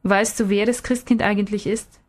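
A woman voicing a patient father who questions and explains gently in a storybook reading. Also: medium pitch, steady tone, moderate pace, lightly acted. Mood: patient explaining. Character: patient, gently probing father of a small boy.

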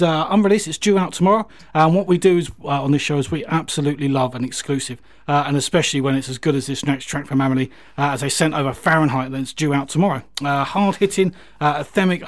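Fast-talking male music radio host. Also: on the high side. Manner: enthusiastic, hesitant, repetitive phrasing. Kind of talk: casual music radio chat.

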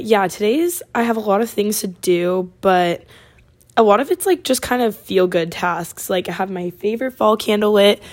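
A college-age woman from Oregon hosting her podcast, chatting casually with a raspy voice and lots of fillers. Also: medium pitch. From Oregon, USA. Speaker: podcast host. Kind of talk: casual chat.

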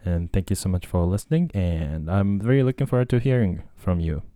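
A man's voice: sits low, lively intonation, fairly quick, warm tone, no echo, studio quality. A man from Japan with a Japanese accent, talking casually and hesitantly on his own podcast, with many fillers and restarts. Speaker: podcast host and language tutor. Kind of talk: casual solo talk.

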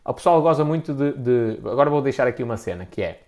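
A man's voice: medium pitch, animated, quick pace, a little mellow. A man with a European Portuguese accent giving informal, opinionated advice in a blunt, slangy, emphatic way, often repeating himself for emphasis. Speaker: content creator giving business advice. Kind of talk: informal opinionated talk to an audience.

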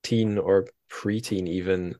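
A man's voice: sits low, steady tone, takes it slowly, warm tone, no echo, studio quality. A man hosting a podcast in casual conversation, informal and a little self-deprecating. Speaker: podcast host.

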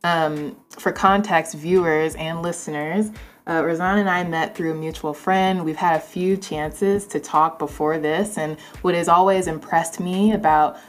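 A woman hosting a casual interview, talking informally and haltingly with many conversational asides. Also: sits low, steady tone. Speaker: interview host.

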